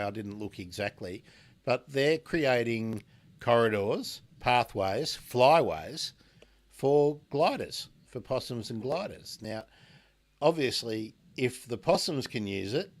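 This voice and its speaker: An Australian man hosting a radio show, chatting casually with a guest and pausing often to restart his sentences. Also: mid-range voice, fairly bright tone. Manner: slow, halting, lots of restarts and fillers. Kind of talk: casual radio interview chat.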